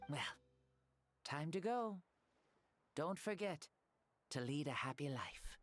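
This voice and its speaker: A man voicing a kindly, ancient male hero spirit in a video game, talking in a warm, nostalgic way. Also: a little high, expressive, takes it slowly. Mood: fond. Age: older. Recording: game audio with loud music.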